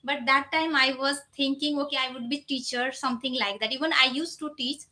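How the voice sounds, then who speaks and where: Bright-voiced woman, native Nepali speaker. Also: high voice, fairly even intonation, brisk. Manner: non-native English with loose grammar, often repeats "you know". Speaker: live stream host, English learner. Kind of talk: casual live chat, answering viewers.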